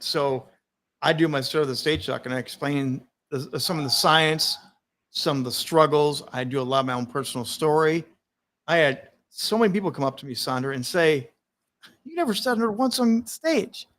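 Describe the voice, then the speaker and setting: A man hosting a live stream, his voice sitting a little high for a man. He speaks with energy and emphasis, laughs easily, and talks openly about his own stutter. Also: a little fast, fairly bright tone. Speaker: host.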